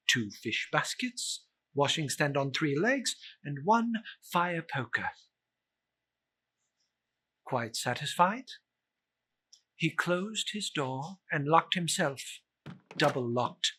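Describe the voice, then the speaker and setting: A man recites a classic ghost story in a fairly high, bright and forceful voice with a husky edge. His delivery is theatrical and suspenseful, taking its time and leaning hard on dramatic words. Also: very animated, takes it slowly, long pauses.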